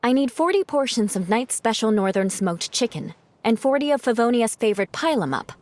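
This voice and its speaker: A woman's voice: fairly high pitch, animated, brisk, lightly acted. A woman playing a proud, reserved young knight captain in a video game, composed, formal and matter-of-fact.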